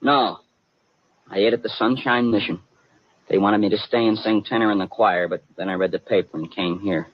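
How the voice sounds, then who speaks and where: An actor playing a naive, melodramatic young private eye, earnest and stammering, with a husky voice, in 1950s radio comedy-mystery style. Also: male voice, on the low side, fairly even intonation, normal speed, close to an everyday voice. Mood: sheepish, gloomy. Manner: earnest, self-dramatizing, stammering. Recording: dull, muffled 1950 radio broadcast.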